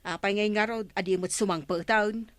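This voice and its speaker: A woman's voice: medium pitch, fairly even intonation, moderate pace, dry recording, natural voice. A woman playing a gossipy village woman who chattily relays news, with an Ilocano accent, in a radio comedy-drama. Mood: wondering.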